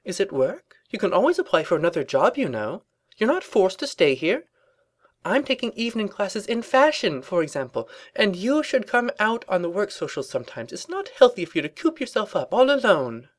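Male reader as female pony colleague, high falsetto pitch, genteel, polite, airy, mild-tempered, storybook character. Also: very animated, moderate pace. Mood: concerned.

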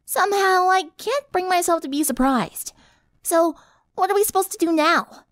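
A woman's voice: very high voice, normal speed, no echo, voice pushed up. A woman voicing a brash, impatient teenage tomboy in a story narration. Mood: exasperated.

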